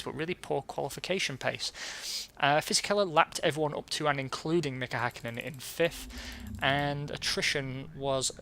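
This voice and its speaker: A man casually commentating a racing management game as a let's play host, his voice going hoarse and clearing his throat now and then. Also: medium pitch, a little hiss or hum. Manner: relaxed and chatty, reading out results.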